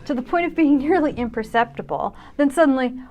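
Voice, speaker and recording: A woman in her fifties narrating her own video in a reflective, wry, self-deprecating way with expressive emphasis. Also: high-pitched, brisk. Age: 50s. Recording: music under the voice. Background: music.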